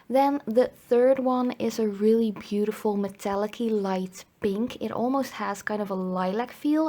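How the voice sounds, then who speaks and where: A woman's voice: mid-range voice, darkish tone. A woman from the Netherlands giving a casual, chatty review voiceover, her voice slightly cracky from a cold coming on. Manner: chatty, informal, frequent hedging. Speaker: nail polish reviewer.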